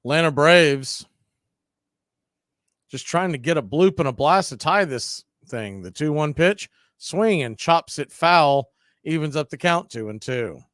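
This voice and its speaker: A man's voice: lively intonation, unhurried, fairly bright tone, dry recording, clean studio sound. Opinionated male baseball play-by-play caller. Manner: opinionated, blunt asides between pitches. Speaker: play-by-play radio announcer. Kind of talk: fan-stream baseball play-by-play commentary.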